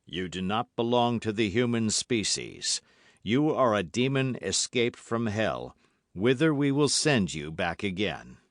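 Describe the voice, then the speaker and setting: A man voicing a cold, stern nobleman who speaks in a low, measured way. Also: big pitch swings, no echo, studio quality.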